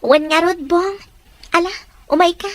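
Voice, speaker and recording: A woman's voice: extremely high pitch, normal speed, a bit bright, no room sound. A woman voicing a young college student who talks politely and cheerfully with her father and friends. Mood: friendly.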